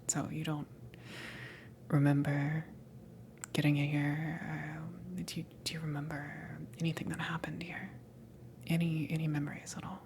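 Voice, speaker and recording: A woman playing a casual, blunt alien salvage worker in an ASMR roleplay, speaking in a soft, breathy whisper with a halting, teasing delivery. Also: deep voice. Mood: businesslike. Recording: noticeable background noise, ambience. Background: ambient noise.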